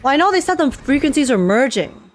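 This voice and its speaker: A young woman giving casual, excitable game reaction commentary, giggly and thinking aloud as she goes. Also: high voice, quick pace. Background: faint game music.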